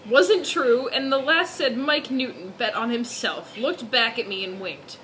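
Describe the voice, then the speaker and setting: A woman's voice: on the high side, a little slow, fairly bright tone, a little hiss or hum, low-quality recording. A woman giving a mock-dramatic reading of fan fiction, giggly and theatrical, with sarcastic asides.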